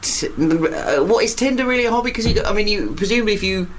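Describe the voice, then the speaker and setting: A man's voice: high-pitched, expressive, fast, fairly bright tone, slight background noise, poor audio. A man with a British accent co-hosting a radio show with casual, irreverent and crude comic banter.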